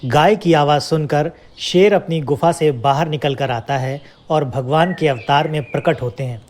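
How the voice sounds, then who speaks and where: A man's voice: fairly high pitch, moderate pace, a little mellow. A man narrating a scripted children's moral story as a storyteller.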